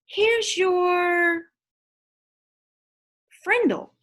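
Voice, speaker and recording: Woman voicing an excitable, high-pitched cheeky schoolboy. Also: drawn-out words, full character voice. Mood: gleeful, sly. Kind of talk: children's book read-aloud.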